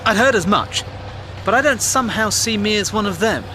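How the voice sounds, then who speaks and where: Audio drama role: cynical, indignant English newspaperman of the Great War, high voice, noisy car ambience. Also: expressive, a little fast, pushed and bright. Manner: wry, indignant, plain-spoken. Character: cynical, outspoken war reporter, man, of the 1910s.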